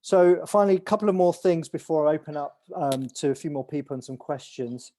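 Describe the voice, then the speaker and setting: Mellow-voiced man briefing a call. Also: a little high, a little fast. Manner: rambling, many restarts and fillers. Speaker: event organizer hosting an online call. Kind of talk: casual briefing on a video call.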